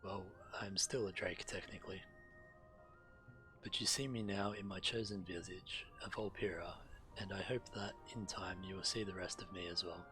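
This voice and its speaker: A man who streams games, reading fantasy quest dialogue aloud in character with a measured storyteller delivery. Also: low-pitched, fairly even intonation, pushed and bright, soft background music, rough recording. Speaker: game streamer.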